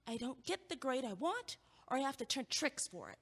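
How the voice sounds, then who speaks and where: A woman playing a sharp, guarded, quick-witted young college student in a naturalistic comedic audio play. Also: high-pitched, very animated, full character voice. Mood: guarded, insistent. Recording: echoey hallway-like reverb.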